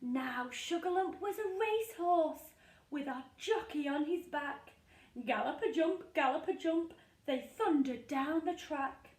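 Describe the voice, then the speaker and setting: High-voiced woman reading a picture book, unhurried. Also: animated, a little mellow. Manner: sing-song, dramatic pauses. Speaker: storyteller reading to young children. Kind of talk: expressive rhyming picture-book read-aloud. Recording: echoey room, distant from mic.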